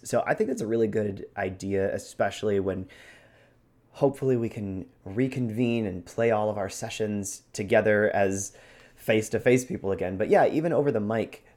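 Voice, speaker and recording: A man's voice: fairly low pitch, a little mellow. A man co-hosting a podcast in a casual, conversational discussion style. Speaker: podcast co-host.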